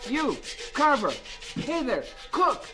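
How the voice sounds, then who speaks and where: A bossy hotel hand, hollering slangily in a radio drama. His voice is very high for a man, with lively pitch and a brisk manner. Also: full character voice. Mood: urgent.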